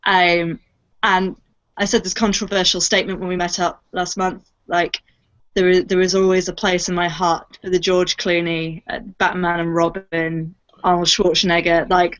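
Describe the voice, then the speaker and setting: A woman's voice: fairly low pitch, muffled recording. A young woman chatting casually about her fandom as a cast member on a panel. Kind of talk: casual fan chat.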